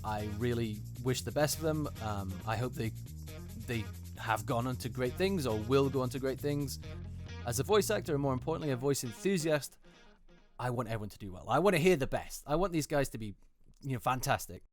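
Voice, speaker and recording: A man's voice: mid-range voice, expressive, a little fast, faint music behind. A man giving unscripted casual commentary as host, hesitant and often repeating himself for emphasis. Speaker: host, voice actor reviewing auditions.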